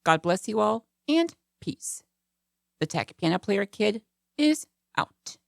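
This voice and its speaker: A woman's voice: mid-range voice, sing-song, slow pace, creaky, heavy background noise. A woman giving a casual tech review as a vlog host, talking in a hesitant, rambling way. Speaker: product reviewer and channel host.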